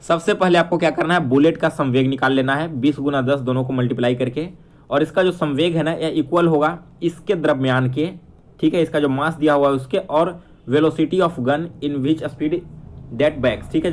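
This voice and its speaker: A man's voice: a little high, moderate pace. A man teaching exam questions, explaining answers step by step and checking in with viewers. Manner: instructional, addresses viewers directly with frequent check-ins.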